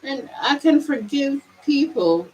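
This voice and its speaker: A woman from Jamaica, a spiritual vlogger, musing aloud to her livestream viewers in halting phrases, with many restarts and drawn-out words. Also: high voice, expressive, a little mellow, slight background noise, poor audio. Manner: halting, with frequent restarts and stretched, slowed phrases. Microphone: camera mic.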